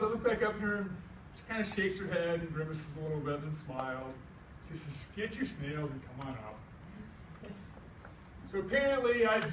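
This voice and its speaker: A middle-aged American man with a fairly high voice tells a comic story in an animated, folksy manner. He plays out each side of the conversations as he goes. The sound is echoey and a little distant, as if recorded across a room. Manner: animated, folksy delivery acting out both sides of dialogue. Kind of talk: live comic tall-tale storytelling. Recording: echoey room, distant mic, faint noise.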